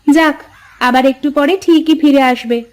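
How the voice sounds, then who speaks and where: A woman's voice: sits high, moderate pace, natural voice. A woman voicing a practical, brusque village mother in a cartoon fairy tale. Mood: dismissive.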